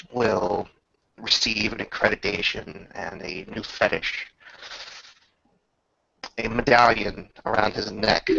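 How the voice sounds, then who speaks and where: A man acting as game master, telling a tabletop roleplaying story in a measured, grandiose manner with drawn-out pauses. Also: fairly low pitch, creaky, pushed and bright. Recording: slightly dull, roomy home recording.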